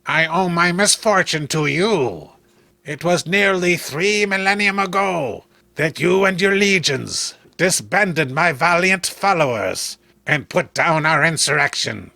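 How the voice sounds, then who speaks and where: A man's voice: on the high side, lively intonation, measured pace, vocal fry, sharp tone, no room sound, exaggerated voice. A man voicing a vengeful exiled giant alien titan who proclaims pompously and menacingly in a horror comic. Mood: bitter, grandiose.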